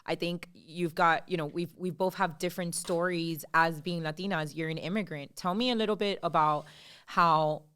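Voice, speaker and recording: Smooth-voiced woman co-hosting a podcast. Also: lowish voice, fairly even intonation, moderate pace, fairly bright tone. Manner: earnest, asks questions and leads the talk. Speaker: podcast co-host. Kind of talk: casual podcast chat.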